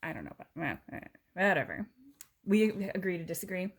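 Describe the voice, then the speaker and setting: A woman reading a children's picture book aloud in an expressive, clearly phrased way, with casual asides. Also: fairly low pitch, brisk.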